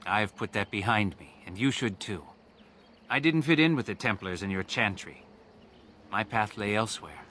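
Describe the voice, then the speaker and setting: Young elven man, reserved, gentle, measured, bright lowish voice, game cutscene, faint background noise. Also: expressive. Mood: calm, forgiving. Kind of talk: dramatic game cutscene acting.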